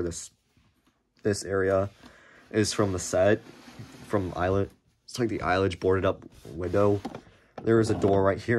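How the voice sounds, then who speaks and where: Young guy w a really low cracked voice, kinda hoarse, lots of like and um. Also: a little mellow, a little hiss or hum. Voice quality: deep cracked voice. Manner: rambling, many fillers and restarts. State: slightly hoarse, unwell. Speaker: Lego YouTuber. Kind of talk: casual show-and-tell of a build. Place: small room indoors at a table. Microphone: camera filming hands over a desk.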